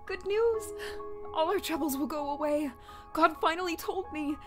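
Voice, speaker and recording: A woman's voice: very high-pitched, moderate pace. A woman playing a deranged religious mother in a dramatic manga dub, sweetly menacing and fanatically devout. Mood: manic joy. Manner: sing-song sweetness turning frantic. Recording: music behind the voice.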